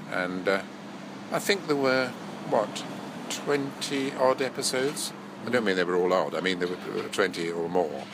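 Older male actor, very low voice, nasal from a cold, rambling anecdotes, noisy recording. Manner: rambling, self-correcting, chuckling. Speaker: actor and voice-over artist. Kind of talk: relaxed interview reminiscing.

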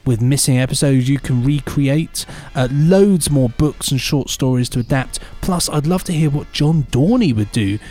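A man's voice: medium pitch, expressive, moderate pace, hardly any pauses, dark tone, faint music behind. A man co-hosting a podcast, joking around in casual chat and reading listener emails aloud. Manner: playful, rambling, giggly. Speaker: podcast co-host.

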